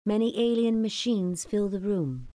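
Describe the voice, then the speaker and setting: Space adventure game narrator, woman: scripted, descriptive read, warm low-brightness tone, close and dry sound. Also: expressive, unhurried, dry recording, lightly acted. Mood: neutral.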